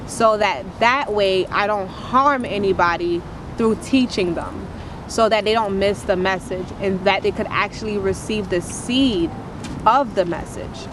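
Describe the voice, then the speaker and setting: A woman's voice: mid-range voice, unhurried, noisy background. A woman with a naturally strong voice speaking to camera as a motivational speaker and poet, in an earnest, preachy, uplifting cadence that repeats its phrases. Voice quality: strong, powerful voice. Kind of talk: inspirational testimony to camera.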